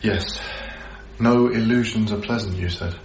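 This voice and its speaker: Troubled young lodger, radio drama. Unhurried, fry-edged, halting, brooding; music under a dulled broadcast. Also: male voice, fairly low pitch, muffled recording, slight background noise. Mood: awkward, subdued. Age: young adult. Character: troubled young male lodger, tender and haunted. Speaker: actor. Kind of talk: radio drama acting, intimate monologue.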